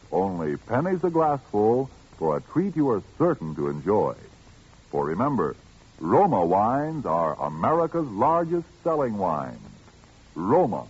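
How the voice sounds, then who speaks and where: A man's voice: on the low side, a little mellow, breathy edge. A man reading a commercial as a 1940s radio announcer. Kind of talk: 1940s radio advertising read. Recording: muffled 1940s radio recording.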